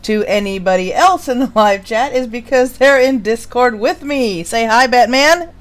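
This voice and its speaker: A woman's voice: on the high side, normal speed. A woman hosting a live stream, chatting casually and introducing material in an informal, jokey way. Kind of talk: casual live-stream chat and reading show history.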